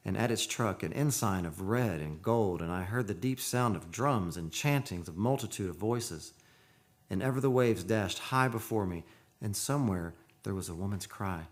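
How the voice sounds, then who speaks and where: A man's voice: lowish voice, normal speed, close to an everyday voice. An actor playing an earnest, lovestruck man who narrates a haunted romance in poetic radio-play style. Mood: haunted, somber. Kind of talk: radio play acting and dramatic first-person narration. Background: music.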